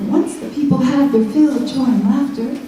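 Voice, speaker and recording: A woman telling children a rhyming fairytale in a dramatic, theatrical, expressive style. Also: sits high, normal speed. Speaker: children's storyteller. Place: school show before children. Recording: echoey hall, distant mic, faint music.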